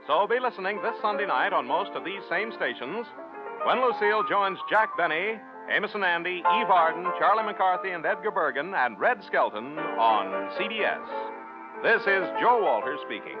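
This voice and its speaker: A man's voice: high-pitched, animated, normal speed, loud music behind the voice. A man announcing in scripted 1940s American network radio style, as a program announcer. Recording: dull vintage radio recording.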